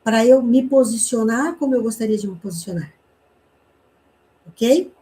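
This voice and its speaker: A woman teaches a communication class. Her voice sits fairly high but sounds soft and mellow. She explains each point patiently, asking rhetorical questions and checking in with tag questions. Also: moderate pace. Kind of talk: online class lecture.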